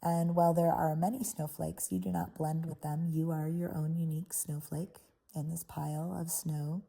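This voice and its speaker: A woman leading a guided visualization in a soothing, unhurried manner, leaving pauses between short phrases. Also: low voice, little pitch movement, gravelly, warm tone. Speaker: guided meditation host. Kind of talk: guided meditation, soft guided visualization.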